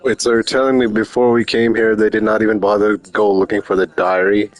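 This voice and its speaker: A man's voice: fairly low pitch, flat delivery, fast, soft background music. A man giving casual gameplay commentary as a streamer, talking in a slangy, offhand, sarcastic way. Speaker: game streamer.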